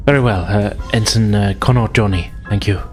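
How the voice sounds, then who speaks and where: A man voicing a timid alien pilot in a tabletop game, speaking haltingly with stiff, apologetic formality. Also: on the low side, a little mellow, faint music behind, natural voice. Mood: stiff politeness.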